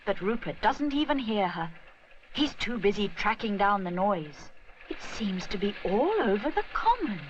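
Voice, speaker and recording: A woman narrating a scripted children's story in an expressive, measured storytelling manner. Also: mid-range voice, muffled recording, a little hiss or hum.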